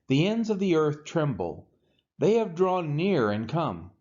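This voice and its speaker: A man reading scripture aloud in a dramatic, solemn and emphatic way, with measured pauses. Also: a little high, very animated, a little mellow, muffled recording. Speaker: Bible reader.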